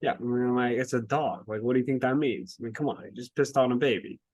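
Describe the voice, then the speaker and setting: Animated man ranting on a podcast. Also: mid-range voice, fast, no room sound. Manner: swears casually. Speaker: podcast co-host. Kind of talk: casual book review chat.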